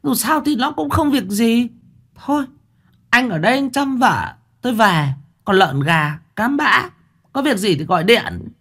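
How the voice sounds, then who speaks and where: A man doing a shrill scolding village wife. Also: lively intonation, a little slow, pushed and bright, full character voice. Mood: dismissive. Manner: whining, scolding, wailing. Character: sharp-tongued older village wife, sulky.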